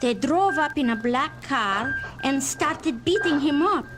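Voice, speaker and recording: A woman's voice: high voice, animated, a little slow, over music. An actress playing a pleading, passionate young immigrant woman in a 1970s audio drama. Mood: worried. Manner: urgent, emotional.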